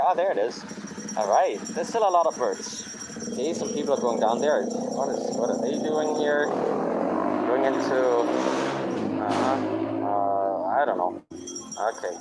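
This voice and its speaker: A man's voice: mid-range voice, gravelly, rough recording. A man vlogging outdoors with a handheld camera, chatting casually as he walks a city beach, mixing English with a few Tagalog phrases. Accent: non-native Tagalog accent. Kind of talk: casual walking vlog commentary. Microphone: handheld camera, filming while walking.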